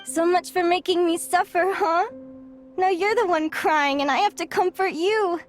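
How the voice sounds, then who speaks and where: A gentle, high-voiced younger sister. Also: female voice, moderate pace, soft background music. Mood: tender. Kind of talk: video game voice acting.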